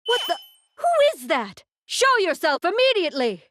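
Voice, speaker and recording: A woman's voice: very high-pitched, very animated, normal speed, a bit bright, no room sound, clean studio sound, exaggerated voice. A woman voicing a proud, commanding young warrior goddess in an anime game, with formal, imperious delivery. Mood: indignant. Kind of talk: dramatic anime game acting.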